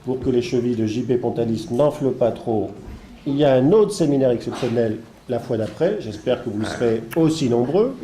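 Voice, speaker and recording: A man with a congested head cold gives a halting, digressive introduction as host of a seminar. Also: medium pitch, dark tone. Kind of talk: spoken introduction of a seminar, semi-improvised. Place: seminar room with an audience. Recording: echoey room with faint background noise.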